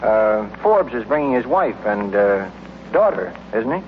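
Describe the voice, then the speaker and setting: Wry radio-comedy brother-in-law, muffled. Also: male voice, on the low side, very animated, a little slow. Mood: wry, teasing. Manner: dry, wisecracking. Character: wry, teasing brother-in-law, an easygoing man. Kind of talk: 1940s radio comedy acting. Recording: muffled, noisy old-time radio recording.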